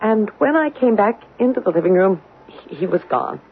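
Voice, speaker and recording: An actress playing a frightened young suburban wife and mother in a 1970s radio drama, speaking in a breathy, anxious way. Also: medium pitch, animated, moderate pace. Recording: thin phone-like old radio broadcast.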